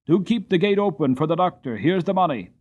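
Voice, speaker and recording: A man voicing a kindly older male coachman who speaks in a steady, encouraging way. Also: a little high, a little fast, lo-fi sound, voice pushed up. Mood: urgent.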